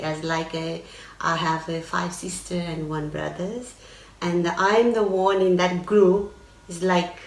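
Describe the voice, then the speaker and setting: Middle-aged Nepali-accented woman in an echoey room. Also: on the low side. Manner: halting, searching for words. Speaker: guest. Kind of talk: casual interview. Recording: echoey room, mic at a distance.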